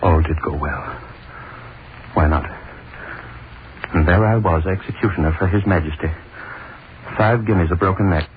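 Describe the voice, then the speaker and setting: An actor playing a haunted, desperate middle-aged executioner in a 1930s radio play, brooding and tormented, heard through a tinny old recording. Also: male voice, low voice, normal speed, long pauses, slight background noise, natural voice. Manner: tormented, brooding inner monologue. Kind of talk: 1930s radio drama acting.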